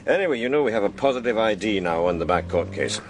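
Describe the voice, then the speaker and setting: An actor playing a wry, authoritative police inspector with a Scottish accent in a radio crime drama. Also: male voice, on the low side, lively intonation, fast, slight background noise, lightly acted.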